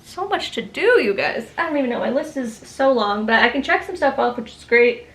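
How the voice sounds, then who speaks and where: A young woman chats to her camera in a home studio, her voice sitting a touch high. She rambles through her to-do list with plenty of ums and side remarks, sounding a little stuffy from allergies. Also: lively intonation, fairly quick, a bit bright. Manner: chatty, rambling, many ums and asides. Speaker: small business owner vlogging. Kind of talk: casual vlog chat to camera.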